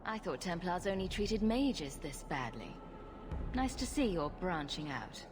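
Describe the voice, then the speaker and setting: A woman's voice: on the low side, sing-song, measured pace, over music. An actress playing a confident, sarcastic female rogue adventurer in a video game, with a flippant manner. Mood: mocking. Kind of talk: video game dialogue acting.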